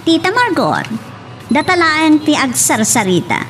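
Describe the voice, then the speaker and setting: A woman's voice: sits high, huge pitch swings, a little slow, fairly bright tone, soft background music, full character voice. An actress playing an inquisitive, persistent young woman in an Ilocano radio romance drama, with an Ilocano accent. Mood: suspicious, probing.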